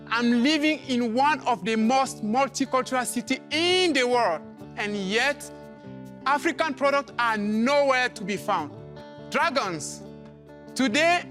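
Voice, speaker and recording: A man from Cameroon, an English speaker, pitching his food business with showy enthusiasm on a TV clip. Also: a bit bright. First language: other than English. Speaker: entrepreneur pitching. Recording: TV clip with music.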